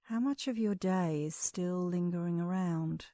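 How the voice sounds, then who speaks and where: A woman leading a guided meditation as an instructor, speaking in a calm, soothing, measured way with long pauses. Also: lowish voice, dry recording, clean studio sound.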